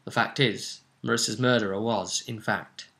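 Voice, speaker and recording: Bright-voiced man recapping a tabletop game. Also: fairly low pitch, fairly even intonation, normal speed. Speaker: host and game master. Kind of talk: casual recap of a tabletop role-play session.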